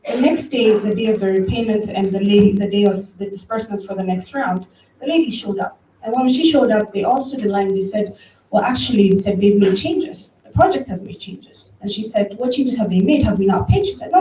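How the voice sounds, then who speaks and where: A woman's soft-edged, mellow voice comes from a little distance in a reverberant room. She is from Ethiopia and speaks as a presenter at an event, informally and conversationally. She tells anecdotes and turns to her listeners directly, over a slight background noise. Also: medium pitch, a little fast. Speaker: conference speaker, tech company director. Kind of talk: informal public talk with storytelling. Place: event room, before an audience. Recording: roomy, distant mic, faint background noise.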